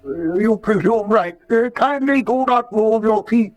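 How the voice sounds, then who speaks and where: An actor playing an absent-minded, fussy, polite eye doctor who stammers and repeats himself. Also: male voice, high-pitched, unhurried, lo-fi sound. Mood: flustered, fussy. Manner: stammering, repeats words urgently.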